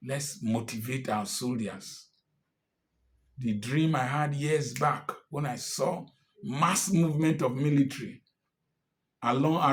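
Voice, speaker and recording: A man in his sixties with a Nigerian accent, giving an impassioned political address, emphatic and defiant. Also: medium pitch. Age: 60s. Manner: emphatic and defiant, rising to angry outbursts. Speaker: commentator.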